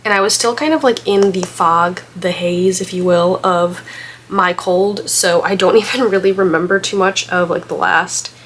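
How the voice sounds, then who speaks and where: A woman's voice: fairly low pitch, steady tone, moderate pace, fairly bright tone, a little hiss or hum. A woman vlogger getting over a head cold and still congested, chatting casually in a rambling way with lots of filler words. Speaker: book vlogger. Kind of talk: casual vlog chat.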